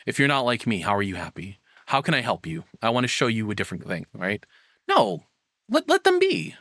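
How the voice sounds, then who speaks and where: A man's voice: medium pitch, huge pitch swings, fairly quick, a bit bright, no room sound. Male streamer, mid-range voice, casual and rambling off-the-cuff reactions, very dry sound. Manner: rambling, self-questioning, casual swearing. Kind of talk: unscripted live reaction commentary.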